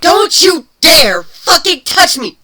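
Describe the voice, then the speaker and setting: A performer voicing a feisty, defensive female changeling with a sharp tongue in a dramatic story reading. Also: very high voice, big pitch swings, slow pace, pitched above the performer's own voice, exaggerated voice. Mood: furious, hurt. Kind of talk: dramatic fan fiction reading.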